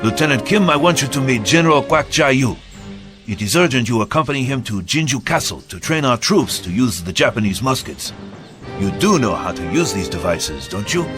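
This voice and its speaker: An actor playing a grave, commanding Korean admiral in a video game, with solemn, oratorical delivery. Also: male voice, mid-range voice, big pitch swings, normal speed, over music, close to an everyday voice. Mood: firm, commanding. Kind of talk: dramatic video game cutscene acting.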